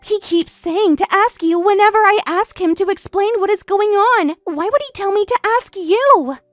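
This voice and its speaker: A woman's voice: very high voice, moderate pace, no echo, faint music behind. A woman voicing a smug, catty young woman who stole her best friend's husband, taunting and boastful in a voiced story drama. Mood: indignant.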